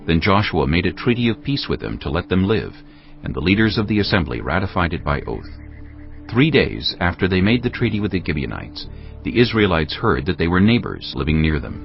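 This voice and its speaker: Male scripture narrator, solemn and measured, with a deep, lively-pitched delivery over soft music. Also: vocal fry, dull audio. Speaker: audio Bible narrator. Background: background music.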